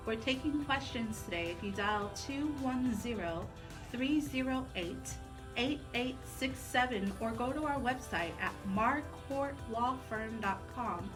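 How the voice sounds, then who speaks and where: A woman's voice: a little high, poor audio. A woman co-hosting a radio talk show in a conversational style. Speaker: radio co-host.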